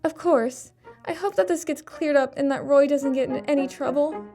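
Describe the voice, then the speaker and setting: An actress playing a loyal, firm young college student in an audio drama, protective and emphatic. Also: female voice, high-pitched, fairly even intonation, moderate pace, dark tone, soft background music, lightly acted. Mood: earnest, loyal. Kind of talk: scripted audio drama acting.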